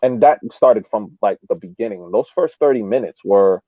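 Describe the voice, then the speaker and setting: A man's voice: lowish voice, lively intonation, normal speed, no echo. Muffled, radio-like man talking sports casually. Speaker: podcast panelist. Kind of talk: casual sports analysis chat. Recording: muffled narrow-band radio-like sound.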